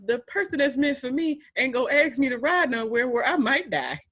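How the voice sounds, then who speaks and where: A young woman with a deep voice hosting a podcast, chatting in an animated, emphatic way with playful repetition. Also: fairly quick. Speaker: podcast host. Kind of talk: casual chat.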